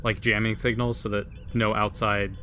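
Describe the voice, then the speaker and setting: A man's voice: fairly low pitch, flat delivery, normal speed, bright, forceful tone. A man playing a tabletop role-playing game, talking casually with the group. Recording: faint background noise.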